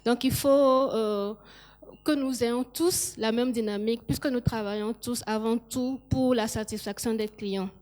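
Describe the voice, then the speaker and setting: Woman panelist, kinda high voice, calm factual talk. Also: unhurried. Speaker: trade practitioner panelist. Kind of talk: panel discussion.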